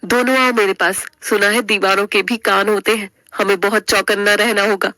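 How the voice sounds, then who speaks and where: A woman's voice: mid-range voice, flat delivery, normal speed, sharp tone, no echo, pitched below the performer's own voice, lightly acted. A woman playing a young, earnest daughter-in-law in a family story, pleading and emotional. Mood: earnest, urgent.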